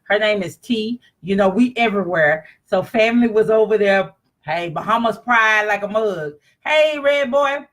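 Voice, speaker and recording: Bright-voiced woman chatting. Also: medium pitch, expressive, moderate pace. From East Texas. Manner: chatty, confident, talks straight to viewers. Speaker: vlogger. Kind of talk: casual travel chat.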